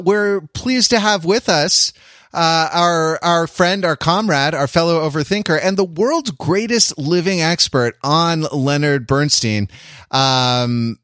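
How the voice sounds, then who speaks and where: A man with a fairly high voice hosts a casual panel show. His delivery is halting and playfully theatrical. The sound is close and dry, without room echo. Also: a bit bright. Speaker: podcast host. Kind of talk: casual panel podcast talk.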